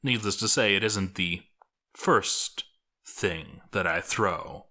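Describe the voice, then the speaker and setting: Bright, low-pitched man telling a dramatic tale. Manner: theatrical, deliberate dramatic pauses. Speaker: narrator reading a game story aloud. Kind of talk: dramatic scripted storytelling narration.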